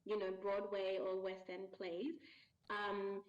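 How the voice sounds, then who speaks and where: A woman's voice: mid-range voice, completely flat delivery, takes it slowly, lo-fi sound. A woman, a voice actor, chatting casually as an interview guest, laughing often and filling with ums.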